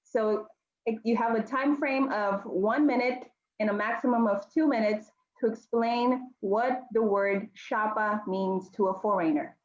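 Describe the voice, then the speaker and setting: Higher-voiced woman hosting an echoey call. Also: measured pace. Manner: friendly, clear instructions with frequent ums. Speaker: meeting host. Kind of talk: hosting an online club meeting. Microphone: video call. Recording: echoey, dull audio.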